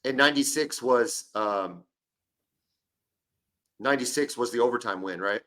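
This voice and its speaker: A man's voice: mid-range voice, unhurried, a bit bright. A man in his forties co-hosting a sports podcast, an eager storyteller who often jumps in and cuts across his partner. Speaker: podcast co-host. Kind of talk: casual sports chat.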